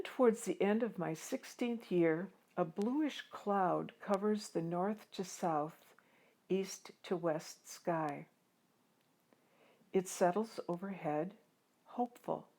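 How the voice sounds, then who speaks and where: Woman reading as slow-spoken earnest farm boy. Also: on the low side, warm tone. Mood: hushed, hopeful. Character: teenage farm boy, earnest, determined, dust bowl narrator. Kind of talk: dramatic first-person storybook reading.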